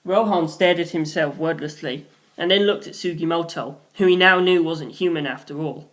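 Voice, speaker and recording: Expressive storybook narrator reading dramatically. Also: mid-range voice, normal speed, rough recording. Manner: acts out characters' dialogue in different voices. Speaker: audiobook narrator, reader.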